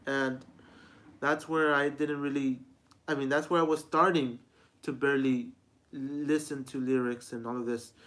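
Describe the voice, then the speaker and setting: Halting young man with a highish voice. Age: 20s. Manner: halting, repetitive, frequently restarting sentences. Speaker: music reviewer. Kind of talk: rambling personal vlog talk.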